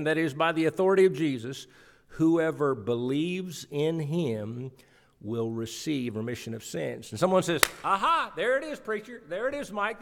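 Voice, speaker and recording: A man's voice: a little high, vocal fry. A middle-aged man preaching a sermon from scripture at a church pulpit, emphatic, repeating phrases for weight. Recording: reverberant church hall sound.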